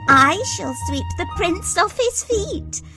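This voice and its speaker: A woman's voice: very high voice, expressive, slow pace, music in the background, heavily acted. A woman playing a vain, spiteful young stepsister in a children's fairy tale, haughty and demanding.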